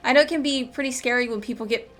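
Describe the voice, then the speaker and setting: Chatty, high-voiced woman streaming games. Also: rapid-fire. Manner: chatty, informal, playful. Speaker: game streamer. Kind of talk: casual live-stream chat. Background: game music.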